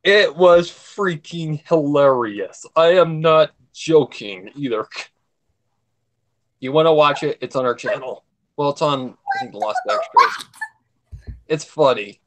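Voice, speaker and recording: A man's voice: a little high, huge pitch swings, unhurried, fairly bright tone. A man talking casually as a guest on a livestream, rambling and emphatic, often repeating his points. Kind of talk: casual chat.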